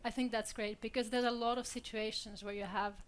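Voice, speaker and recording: A woman's voice: fairly high pitch, little pitch movement, fairly quick, clear, resonant tone. A young woman from Switzerland speaking candidly on a panel, laughing often between points. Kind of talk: panel discussion.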